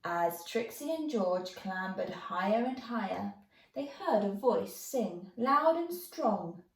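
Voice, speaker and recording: A woman storyteller reading a rhyming children's tale in an expressive storybook style. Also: mid-range voice, slow pace. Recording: roomy, echoey, distant mic.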